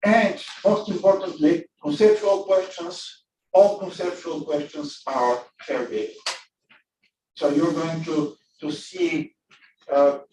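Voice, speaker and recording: A man's voice: fairly high pitch, mellow, a little hiss or hum. Man lecturing in an echoey classroom. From Bulgaria. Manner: halting, many fillers and restarts. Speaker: physics teacher. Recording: echoey classroom, distant mic.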